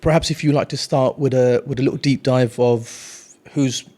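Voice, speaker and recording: A man's voice: medium pitch, fairly even intonation, normal speed, warm tone. A man hosting a podcast, introducing his guest formally and asking probing follow-up questions. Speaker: podcast host. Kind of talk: podcast interview.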